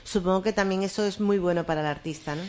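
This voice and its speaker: A woman's voice: mid-range voice, fast, a little mellow. A woman radio host asking friendly, rambling questions in an interview.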